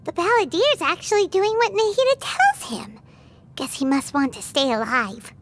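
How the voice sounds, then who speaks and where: A woman voicing a tiny excitable girl companion creature who speaks of herself in the third person, in a video game scene. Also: normal speed, heavy background noise. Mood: chatty. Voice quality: squeaky high voice. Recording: loud game music under voice. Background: game background music.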